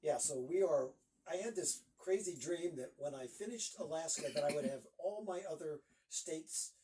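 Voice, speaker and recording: A man's voice: on the high side, moderate pace, warm tone, distant mic, rough recording. A man in his sixties from Northeast Ohio, a guest author chatting casually in an interview and rambling with lots of fillers.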